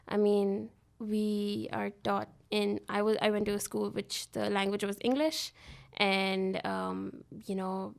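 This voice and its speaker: A woman in her twenties who grew up in Dubai, a guest in a studio interview, speaking in a relaxed, thoughtful way. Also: mid-range voice, gravelly. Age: 20s. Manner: relaxed, thoughtful, laughs easily between thoughts. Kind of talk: conversational interview. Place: radio studio.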